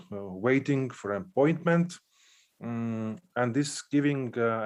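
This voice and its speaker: A man from Estonia, a pastor giving a careful, halting panel talk. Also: on the low side, darkish tone. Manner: halting and careful, with many pauses.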